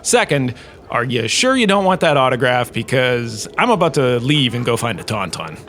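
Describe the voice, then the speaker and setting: A man playing a self-regarding, jokey professor giving a scripted comedic lecture with theatrical storytelling pauses. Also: mid-range voice, big pitch swings, a bit bright, faint music behind. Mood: smug, defensive. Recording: clearly noisy background ambience.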